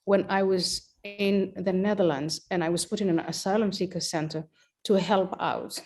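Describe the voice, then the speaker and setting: Middle-aged woman from somalia telling her story slowly and thoughtfully on a podcast. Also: medium pitch, fairly even intonation, a little mellow. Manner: measured, reflective storytelling. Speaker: podcast guest. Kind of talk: interview conversation.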